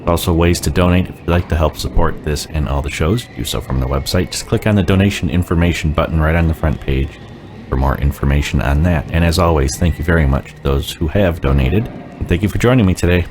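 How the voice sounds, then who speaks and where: A man hosts a podcast in a plain, scripted way. His voice is extremely deep, and there is clear noise behind it. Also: fast talker. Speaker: podcast host.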